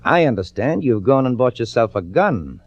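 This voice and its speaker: An American actor playing a smooth, ambitious, ruthless prosecutor in a radio suspense drama, urgent, commanding and calculating. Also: male voice, medium pitch, sing-song, moderate pace. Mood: firm, persuasive. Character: scheming, smooth, ambitious prosecutor, outwardly friendly, privately ruthless. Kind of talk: 1940s radio acting, with dramatic first-person narration. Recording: dull old-time radio recording.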